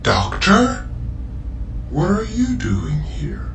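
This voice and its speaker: Man acting a gravelly, anxious companion. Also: high-pitched, huge pitch swings, very slow, fairly bright tone, heavily acted. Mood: confused. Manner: hesitant, earnest, questioning. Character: trusting, anxious male companion, betrayed and pleading. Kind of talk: fan audio drama acting. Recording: echoey, distant from mic, music behind.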